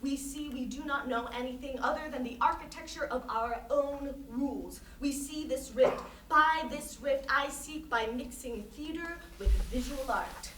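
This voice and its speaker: Pompous high-voiced female artist, distant mic. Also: normal speed, hardly any pauses, a bit bright. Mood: lofty, lecturing. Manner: pompous, lecturing, emphatic. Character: female conceptual artist, grandiose, earnest, pretentious. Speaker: actor in a staged reading. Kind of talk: theatrical monologue in interview form. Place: staged reading in a reverberant room. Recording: roomy, distant microphone, noisy hall.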